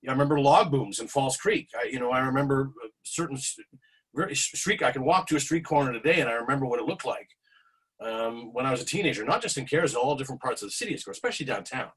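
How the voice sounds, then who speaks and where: A man's voice: medium pitch, expressive, fast, a bit bright, slightly rough, low-quality recording. A man in his forties, a guest speaker recalling his past in a rambling way on a video call, with many restarts. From Canada. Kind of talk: casual storytelling on a video call.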